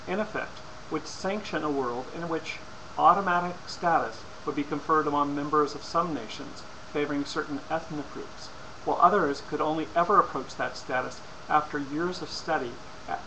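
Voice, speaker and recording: A man's voice: on the high side, normal speed. Man reading a formal lecture, noisy recording. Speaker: presenter. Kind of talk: scripted persuasive lecture, read aloud. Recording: clearly noisy amateur recording.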